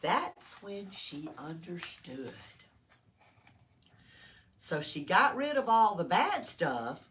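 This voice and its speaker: An older woman reads aloud to small children. Her pitch moves up and down expressively as she explains things simply and checks in with questions. She is picked up from a distance, with faint noise around her. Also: very animated, slow, pauses often, fairly bright tone. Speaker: library storytime reader. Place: small-town library. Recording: distant mic with background noise.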